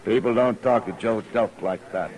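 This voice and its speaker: Actor as a low-voiced, swaggering Western gunman. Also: normal speed, lightly acted, rough recording. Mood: menacing, taunting. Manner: taunting, swaggering, sneering. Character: menacing, bullying gunman in a Western saloon. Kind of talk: 1950s Western radio drama acting. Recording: old radio drama with background crowd sounds.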